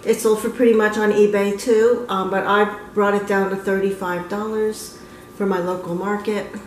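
A woman's voice: mid-range voice, level tone, moderate pace, a little hiss or hum, low-quality recording. Chatty middle-aged woman showing her finds. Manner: chatty, with frequent ums and self-corrections. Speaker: antique booth vendor. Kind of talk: casual show-and-tell vlog. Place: living room, then car and antique mall.

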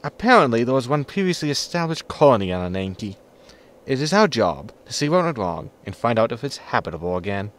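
A man playing a weary, dry-witted spaceship captain in a sci-fi comedy audio drama, deadpan in manner. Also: medium pitch, a little fast, lightly acted.

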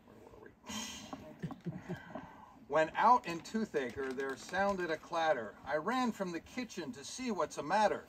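A man's voice: a little high, expressive, a little slow, pauses often, a bit bright. A man voicing a grumbling puppet who recites comic rhymes theatrically in a noisy live puppet show. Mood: grudging. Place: live talent show, recorded from the audience.

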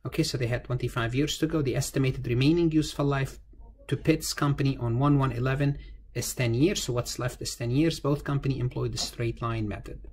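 A man's voice: medium pitch, fairly even intonation, a little fast, a little mellow. A man teaching an informal step-by-step tutorial, explaining as he goes, repeating phrases and asking himself questions that he then answers. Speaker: accounting lecturer. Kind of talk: informal tutorial lecture, thinking aloud through a worked example. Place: indoors, screen-recorded voice-over. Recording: noticeable background noise.

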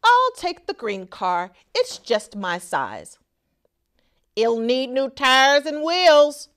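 A woman voicing a small, confident male hamster racer in a storybook, excited and emphatic. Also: high-pitched, slow pace, clean tone, full character voice. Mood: eager.

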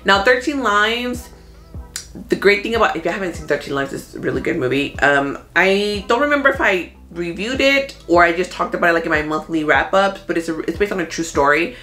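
A woman in her thirties talking casually as a movie vlogger, thinking out loud in an informal, conversational way. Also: fairly low pitch, expressive, brisk. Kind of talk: casual chatty commentary. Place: small room at home. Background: background music.

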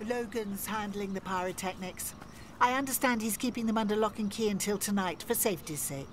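An actress playing a jaunty, spirited, well-spoken older village organiser in a radio soap. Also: female voice, medium pitch, fairly quick, a little hiss or hum, close to an everyday voice. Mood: brisk.

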